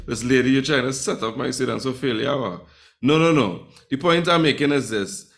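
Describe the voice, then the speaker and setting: A man's voice: medium pitch, big pitch swings, normal speed, vocal fry, fairly bright tone. A man teaching a live online Spanish class, chatty and teasing with his students.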